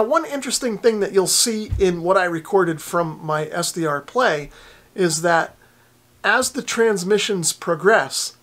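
Higher-pitched man explaining casually to camera. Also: a bit bright. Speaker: radio hobby vlogger. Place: small indoor radio workshop room.

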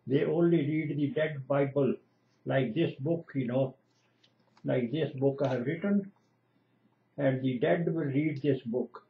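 An older man, a retired lecturer whose first language is Punjabi, slowly and earnestly expounding scripture at home. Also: medium pitch, gravelly. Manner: slow, earnest, lecturing, repeats lists of divine names. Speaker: retired lecturer giving Bible exposition. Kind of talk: home-video scripture teaching. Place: small room indoors at home. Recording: dull lo-fi webcam audio.

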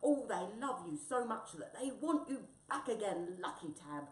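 A woman's voice: sits low, lively intonation, unhurried, a little mellow. A woman voicing a well-meaning, bossy and affectionate wombat in a storybook, eager and emphatic. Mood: pleading. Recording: roomy, distant mic, faint noise.

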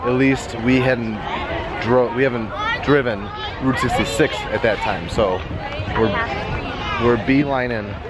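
A man's voice: medium pitch, steady tone, unhurried. An enthusiastic American man in his twenties chatting and rambling casually as a travel vlogger. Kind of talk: casual vlog chat. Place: walking around a resort, mostly outdoors. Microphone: handheld camera at arm's length. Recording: very noisy outdoor resort crowd and traffic.

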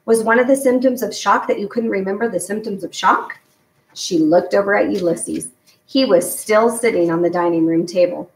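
A middle-aged woman narrates a children's book with plenty of creak in her voice. She is recorded some way from the mic in a roomy, echoing space. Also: medium pitch, moderate pace, a little mellow, slight background noise. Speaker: storyteller reading a children's novel aloud. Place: home garage, indoors. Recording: echoey room, distant mic.

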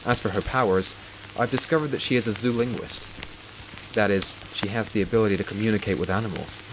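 A man's voice: fairly low pitch, quick pace, natural voice. A man acting in an audio drama as an arrogant, exasperated doctor who studies supernatural captives, clinical and sardonic in manner. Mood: clinical, detached. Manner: clinical, sardonic, self-talking. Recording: muffled, with loud background noise.

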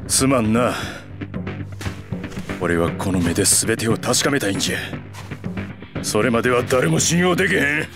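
An actor playing a brooding, stubborn brawler in a video game, speaking bluntly with a Kansai accent. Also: male voice, mid-range voice, expressive, normal speed, pauses often, natural voice. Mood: guarded, stubborn. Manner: gruff, blunt. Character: brooding, battle-hardened fugitive brawler, gruff and stubborn. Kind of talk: dramatic video game cutscene acting. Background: background music.

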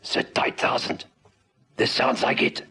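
An actor playing a steady, wry police officer in a vintage radio drama, speaking tersely. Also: male voice, unhurried. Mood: tense, hushed.

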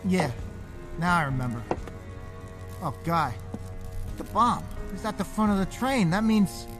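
Injured male conductor for audio drama: dazed, halting, higher register, scored underneath. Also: animated, a bit bright, faint music behind. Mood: shaken. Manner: stammering, hesitant.

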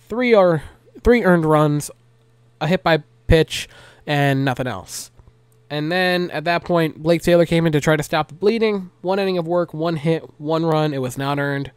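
A man's voice: on the high side, clean tone, no echo. Congested young man recapping his team's game. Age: young adult. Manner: rattles off stats, then animated pep talk. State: congested, nasally stopped up. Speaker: sports recap youtuber. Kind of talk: solo game recap vlog. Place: small home room. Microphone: large desk mic close to mouth.

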